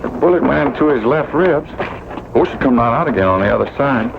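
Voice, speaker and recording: Casting: wry, fretful Western sidekick, gravelly and swooping, rural drawl, radio drama. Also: male voice, medium pitch, lively intonation, fairly quick, noisy background. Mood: fretful, folksy. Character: folksy, talkative, worrying old-West sidekick, male. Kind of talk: old-time radio western acting. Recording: muffled old radio broadcast, music behind.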